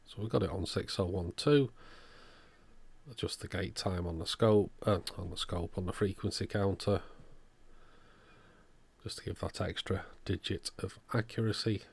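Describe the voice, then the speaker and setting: A man's voice: low voice, normal speed, creaky, breathy edge. A man narrating a hands-on repair demonstration in a methodical, step-by-step way, as the host of an electronics channel.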